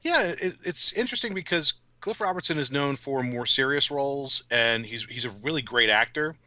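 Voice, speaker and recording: A man's voice: medium pitch, lively intonation, moderate pace, bright, forceful tone, no room sound. A man appearing as a podcast guest, giving casual conversational commentary.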